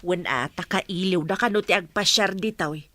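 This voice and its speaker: A woman's voice: fairly low pitch, moderate pace, a bit bright, dry recording, lightly acted. A woman with an Ilocano accent playing a concerned, reproachful village mother in a radio drama.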